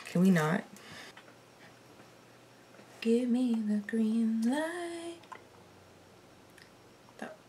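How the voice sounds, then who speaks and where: A raspy-voiced young woman chatting casually to camera from her bedroom, reacting to a TV show and swearing under her breath at small mishaps. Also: a little high, big pitch swings, drawn-out words, long pauses, mellow, a little hiss or hum. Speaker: TV reaction vlogger. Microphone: laptop mic.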